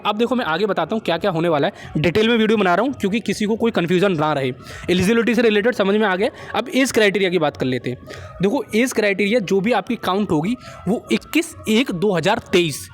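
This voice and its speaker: A young man with an Indian accent hosting an informal explainer, talking to viewers like friends and often checking that they follow. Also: high-pitched. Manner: chummy, addresses viewers as friends, repeats check phrases. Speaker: job-update video host. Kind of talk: informal explainer of a recruitment notice.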